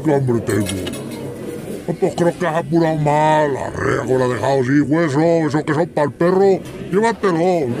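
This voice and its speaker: A man ribs someone about how much they eat, in a joking, teasing voice-over. His pitch sits a bit above the usual for a man. A crowded restaurant murmurs loudly behind him. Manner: joking, ribbing the eater.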